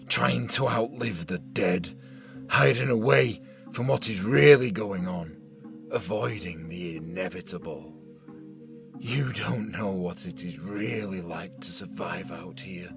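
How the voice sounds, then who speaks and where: An actor playing an older survivor leader in an audio drama, a menacing villain with a gravelly voice and a mocking, deliberate, sarcastic manner. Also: male voice, fairly low pitch, faint music behind. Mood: contemptuous menace.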